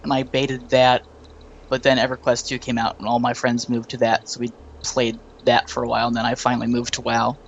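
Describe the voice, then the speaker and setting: A man in his late twenties chatting casually about games, telling quick anecdotes in a talkative way. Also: medium pitch, a bit bright, dull audio, slight background noise. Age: late 20s. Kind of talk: casual gaming chat.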